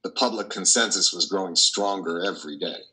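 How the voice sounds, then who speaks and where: An older man playing a troubled, tender priest who confesses his doubts haltingly and fervently in a play reading over a video call. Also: deep voice, pushed and bright. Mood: agitated, aggrieved. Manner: halting, fervent, with repeated words. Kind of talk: dramatic monologue in a play reading. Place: wood-panelled room at home, indoors. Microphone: video call, computer microphone.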